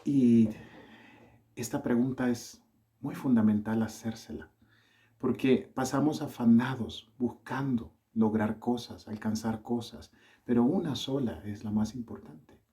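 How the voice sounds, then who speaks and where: A man from El Salvador with a Salvadoran accent softened by Colombian touches, preaching a sermon in an earnest, measured way. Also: mid-range voice, steady tone.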